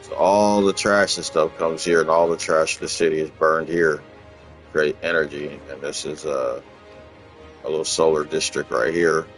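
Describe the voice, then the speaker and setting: A man from Los Angeles, casually talking through his game in a rambling, relaxed way, often repeating words. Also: rumbling low, normal speed, faint music behind. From Los Angeles, USA. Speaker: gaming channel host. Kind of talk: casual gameplay walkthrough commentary.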